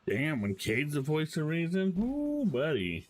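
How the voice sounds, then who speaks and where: A man casually reacting to gameplay as a streamer, joking and swearing with drawn-out exclamations. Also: on the high side, wildly swooping pitch. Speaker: gaming streamer. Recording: loud voice over noisy game audio.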